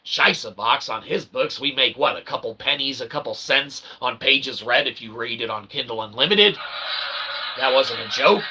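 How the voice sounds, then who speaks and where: Wordy, very bright-voiced rambling man. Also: medium pitch, expressive, moderate pace, husky. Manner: pseudo-intellectual, wordy and convoluted phrasing, tags sentences with "okay" and "eh". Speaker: vlogger, self-published author. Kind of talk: rambling comedic monologue.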